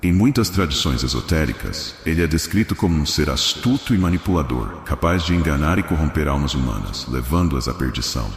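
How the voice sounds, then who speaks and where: A man's voice: rumbling low, lively intonation, fairly quick, hardly any pauses. A man with a Brazilian accent narrates a scripted religious story in a solemn, dramatic storytelling manner. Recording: echoey voice with long reverb.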